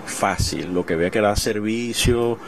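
A man in his forties with a Venezuelan accent, casually recalling things in an interview, halting and repeating words. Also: fairly low pitch, crowd noise. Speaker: bookseller being interviewed.

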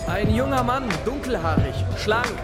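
Plainclothes searcher: bossy, high-pitched, radio crime drama, loud music and street underneath. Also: male voice, expressive, moderate pace. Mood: insistent.

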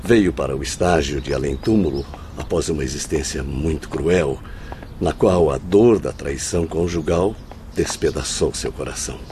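An actor playing an earnest, well-informed spirit who recounts tragedy in formal, eloquent, emphatic phrases in a radio drama. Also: male voice, rumbling low, moderate pace, mellow, slight background noise. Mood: earnest, informative.

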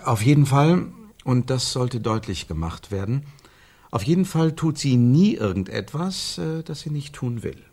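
A man in a German radio play acting an insistent commentator who speaks emphatically in repetitive phrases, with a husky, gravelly voice. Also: medium pitch, lively intonation, a little slow, warm tone. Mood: insistent, defensive. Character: male commentator picturing a woman's life, brisk and insistent.

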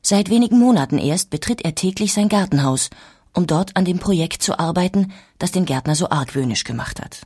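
A woman narrating a scripted historical documentary in a measured storytelling manner. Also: fairly low pitch, lively intonation, darkish tone, breathy edge.

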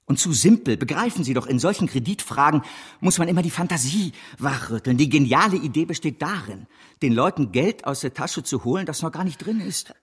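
A man's voice: fairly high pitch, lively intonation, a little fast, husky. An actor playing a grandiose, scheming bank director in a German radio drama, bombastic and impatient as he persuades. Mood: fervent, impatient.

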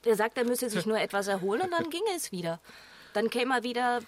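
An actress playing a compassionate, earnest woman in a naturalistic radio drama, speaking haltingly and repeating words with emotional involvement. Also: a little high, sing-song. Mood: pleading. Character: compassionate, earnest woman pleading for a sheltered stranger.

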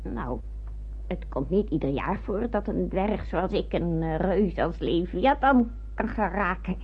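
Plucky small spaceship crewmate, really raspy voice, dull old radio drama sound. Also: female voice, on the low side, expressive, unhurried, full character voice. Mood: chatty, defensive. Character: small, plucky crew member aboard a spaceship. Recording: muffled old radio play recording.

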